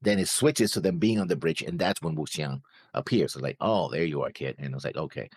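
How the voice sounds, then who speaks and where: A man's voice: a bass voice, brisk, slightly rough, no room sound, clean studio sound. A man co-hosting a reaction show, casually discussing and questioning, pushing back on points. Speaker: co-host of an anime reaction show.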